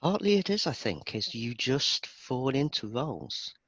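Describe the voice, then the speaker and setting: A man's voice: medium pitch, sing-song, a little slow, fairly bright tone, husky, dry recording, highs cut off. A man from Birmingham, England with a Birmingham accent, chatting casually as an interview guest in a self-deprecating, talkative way. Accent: Birmingham English. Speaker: interview guest, database performance expert.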